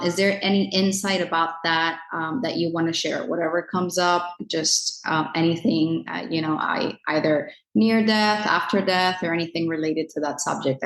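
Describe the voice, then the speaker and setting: A woman hosting a podcast interview, chatty and enthusiastic, with lots of easy fillers. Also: sits low. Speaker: podcast host. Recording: echoey room.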